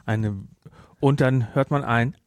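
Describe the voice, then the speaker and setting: A man's voice: medium pitch, steady tone, slow, dry recording. Casual German man podcasting, dry close sound. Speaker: podcast host. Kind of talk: casual chat, film discussion.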